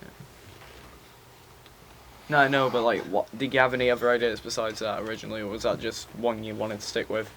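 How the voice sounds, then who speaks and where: A man's voice: lowish voice, level tone, brisk, vocal fry, pauses often, a bit bright. A young adult man, a classmate asking a casual question with hesitant phrasing. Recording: noisy room recording.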